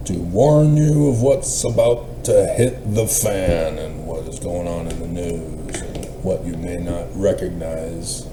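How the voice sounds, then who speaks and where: A man's voice: low-pitched, takes it slowly, hardly any pauses. A man giving a rambling solo monologue in the manner of a self-styled prophet, meandering and often trailing off mid-thought. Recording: roomy echo, clear background noise.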